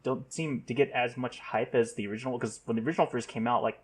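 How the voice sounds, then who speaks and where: A man with a slightly rough voice talking casually as a podcast panelist. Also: medium pitch, steady tone, fast.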